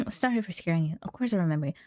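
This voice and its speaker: A woman's voice: lowish voice, expressive, rapid-fire, dark tone, dry recording, lightly acted. A woman voicing a friendly, grateful guard as she reads video game dialogue aloud, with some stumbles. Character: friendly, grateful male guard, modest lucky survivor.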